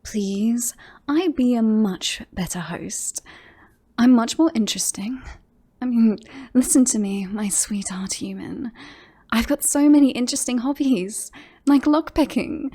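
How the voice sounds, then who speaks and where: A woman playing a possessive, lovestruck female werewolf in a roleplay audio drama, teasing and boastful, often slipping into breathy whispers. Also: on the high side, lively intonation, dark tone. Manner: slow, breathy asides, often dropping into whispers.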